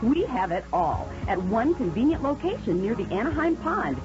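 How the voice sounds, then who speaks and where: A woman's voice: fairly high pitch, big pitch swings, moderate pace. An American woman reading an upbeat scripted car commercial for the radio. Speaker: commercial announcer. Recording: dull radio ad over music.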